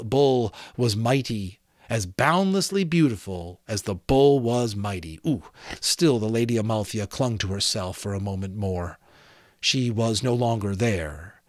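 A man in his thirties reads a fantasy novel aloud as an expressive, dramatic audiobook narrator, close to a desk microphone in a small room. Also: mid-range voice, dry recording. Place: small home room with sloped ceiling, indoors.

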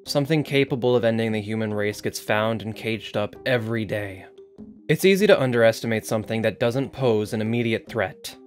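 A man's voice: on the low side, brisk, soft background music, lightly acted. An actor playing a defiant male site director in an audio drama, formal, insistent and sardonic as he argues his case. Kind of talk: scripted audio drama interview.

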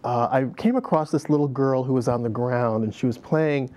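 Middle-aged man telling stories, relaxed. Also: medium pitch, normal speed. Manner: reflective storyteller, many fillers, laughs easily. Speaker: guest, dance director. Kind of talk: conversational interview. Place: TV studio set.

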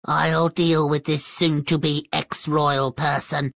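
A woman's voice: sits low, level tone, slow, vocal fry, a bit bright, no echo, heavily acted. A woman playing a young fairy-tale princess in a video game, coy and theatrical, sweet on the surface and vengeful underneath. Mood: coldly vengeful. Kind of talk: video game character acting.

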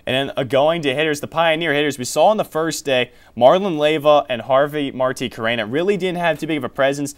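A man's voice: fairly high pitch, fairly quick, hardly any pauses, smooth. A young man hosting a college sports show from a small TV studio, running through scores and stats before handing questions to his analyst. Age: young adult. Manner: reads scores and stats, then hands off questions.

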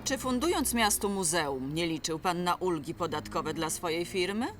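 Lively, sardonic woman reporter played by an actress. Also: lowish voice, normal speed, over music, lightly acted. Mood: skeptical, challenging. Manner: sardonic, challenging. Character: sharp, skeptical woman reporter, pushy and sardonic. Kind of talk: dubbed cartoon acting.

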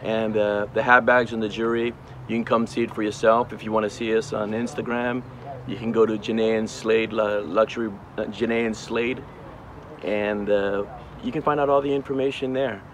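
A man's voice: fairly low pitch, level tone, normal speed. A man in his forties from Hawaii, co-owner of a small brand, interviewed outdoors on a red carpet with a handheld mic, talking in an upbeat, rambling way full of fillers and restarts.

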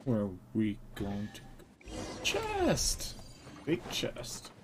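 A man's voice: medium pitch, huge pitch swings, drawn-out words, very noisy. A man streaming a game, giving casual live commentary. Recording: loud game music behind. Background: game audio and music.

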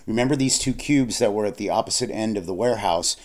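A man narrating a screen-recorded software tutorial, explaining steps plainly with frequent fillers and restarts. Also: fairly low pitch, fast talker. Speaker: software instructor.

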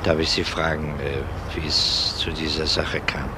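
A police detective with a low voice, formal and measured as he probes. It is a crime radio play, with clear background noise on the recording. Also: level tone. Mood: formal, courteous. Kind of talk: German crime radio drama acting. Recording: noisy old radio drama recording.

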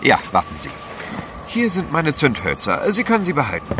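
An actor playing a courteous, composed gentleman adventurer with a wry streak in an audio drama. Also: a little high, huge pitch swings, normal speed, music in the background. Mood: covering up. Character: gentleman adventurer, courteous, protective husband or lover. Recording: clear ambient noise behind.

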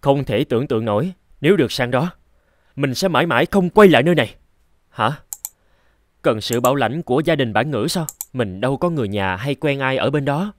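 A man playing a resentful, ambitious teenage son from a poor home in an animated fairy tale, with an accusing, impatient manner. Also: medium pitch, fairly quick, dry recording, studio quality, natural voice. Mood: musing.

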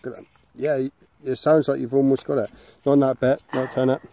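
A man's voice: medium pitch, little pitch movement, brisk, creaky, mellow, a little hiss or hum. A young man with an English accent, chatting casually to camera while walking through woodland and camping with his son. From England. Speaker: bushcraft vlogger. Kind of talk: casual outdoor vlog. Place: woodland and grassy campsite outdoors. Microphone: handheld camera.

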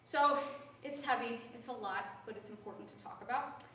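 A woman's voice: a little high, moderate pace, a bit bright, far from the mic. A young woman giving a spoken lecture in a recital hall, earnest and conversational with frequent ums, recorded from a camera across the room. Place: large recital hall with a grand piano. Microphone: far-off room camera. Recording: echoey hall, heard from afar, faint hiss.

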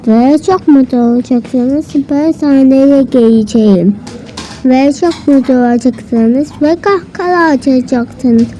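A woman playing a kindly schoolteacher in an amateur cartoon, addressing pupils in simple, deliberate phrases. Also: high voice, dark tone. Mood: instructive. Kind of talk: amateur cartoon role-play. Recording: noisy homemade recording.

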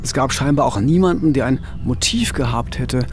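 A middle-aged man presenting a true-crime case in a deliberate, emphatic, conversational narration on a studio microphone. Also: medium pitch, soft background music. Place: indoor radio studio. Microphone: studio boom microphone.

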